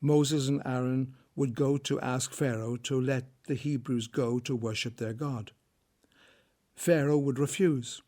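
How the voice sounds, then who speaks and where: A man's voice: mid-range voice, fairly even intonation, unhurried, warm tone. A man narrating a scripted story as a storyteller for an audio drama.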